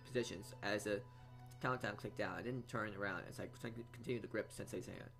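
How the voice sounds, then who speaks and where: A man's voice: fairly low pitch, fairly even intonation, brisk, fairly bright tone. A man reading visual novel narration aloud as a let's player, often stumbling over words. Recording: game music behind voice. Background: game music.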